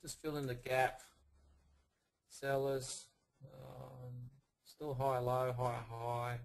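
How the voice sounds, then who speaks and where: A man with a gravelly, fry-laden voice gone husky from overuse talks a trading room through market charts. He halts often, fills gaps with ums, and points from one level to the next. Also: mid-range voice, monotone. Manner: halting, with many fillers and pointing phrases. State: hoarse, losing his voice. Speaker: forex trading room presenter. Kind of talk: live chart analysis talk.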